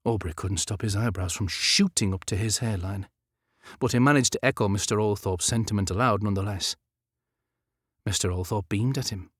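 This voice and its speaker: Low-pitched male audiobook narrator. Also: normal speed, breathy edge, dry recording, clean studio sound. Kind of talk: scripted narration of a historical romance novel.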